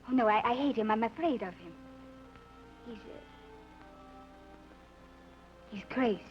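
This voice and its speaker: An actress playing a frightened young Latin American woman who speaks formally and in hushed tones, in 1940s radio-play style. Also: fairly high pitch, animated, fairly quick, soft background music, close to an everyday voice. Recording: dull old radio recording with faint hiss.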